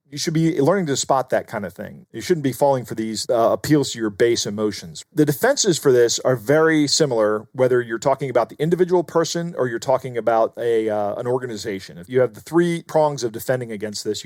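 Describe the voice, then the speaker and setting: A male podcast co-host with a medium-pitched voice. He explains in an informal, instructive, conversational way, recorded very dry. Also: brisk, a little mellow, no echo.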